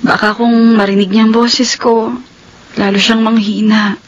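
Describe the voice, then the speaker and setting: A woman's voice: a little high, flat delivery, unhurried, lo-fi sound, slight background noise, lightly acted. A woman playing a heartbroken young nurse, tearful and earnest, in a radio drama. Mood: hesitant, subdued. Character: heartbroken young nurse pleading with her comatose ex-boyfriend. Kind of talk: Tagalog radio drama acting.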